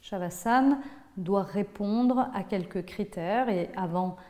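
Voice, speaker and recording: A woman teaching yoga nidra, guiding a relaxation in a measured, instructive way with pauses between phrases. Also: medium pitch, warm tone. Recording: long hall-like reverb on voice.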